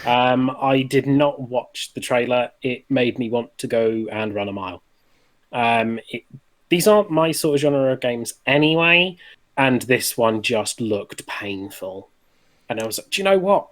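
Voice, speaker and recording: A man's voice: medium pitch, measured pace. A man co-hosting a podcast, talking in a casual, conversational style. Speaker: podcast co-host. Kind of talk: casual chat.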